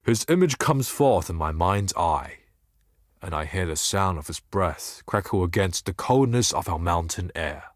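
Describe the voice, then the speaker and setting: A blind desert seer from a fantasy game, grandiose and tormented. His voice sits low and moves slowly, with a solemn, ominous weight to every formal phrase. The sound is close and dry. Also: animated, no echo. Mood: musing. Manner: solemn, formal, ominous, deliberate theatrical delivery. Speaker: game character voice actor. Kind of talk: theatrical scripted fantasy game monologue.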